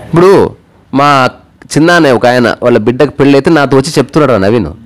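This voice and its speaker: A man's voice: medium pitch, expressive, fast. A man tells moral stories and personal anecdotes in a casual, conversational way, acting out bits of dialogue and mixing in English words. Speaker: storyteller.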